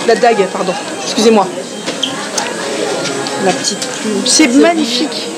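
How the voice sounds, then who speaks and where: A woman's voice: on the high side, lively intonation, unhurried. A woman vlogging casually on location, effusive and often repeating her words. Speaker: vlogger visiting a shop. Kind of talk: casual on-location vlog chat. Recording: loud busy crowd behind.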